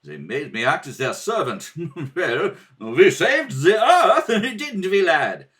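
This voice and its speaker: A man playing a hearty, excitable older scientist in old radio serial style. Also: fairly high pitch, takes it slowly, exaggerated voice. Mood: hearty relief. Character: excitable older scientist, hearty and warm toward his friend.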